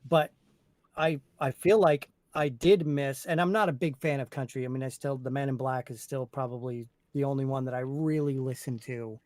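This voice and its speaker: A man chatting casually, telling stories in a slow, reflective way. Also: medium pitch, fairly even intonation, darkish tone, no room sound, clean studio sound. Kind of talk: casual chat.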